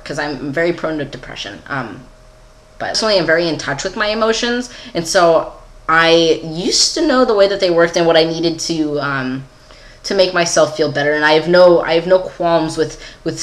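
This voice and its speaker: A man's voice: on the high side, fairly bright tone. A young adult man giving a casual, candid personal video update in a rambling, giggly, self-deprecating way. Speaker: vlogger.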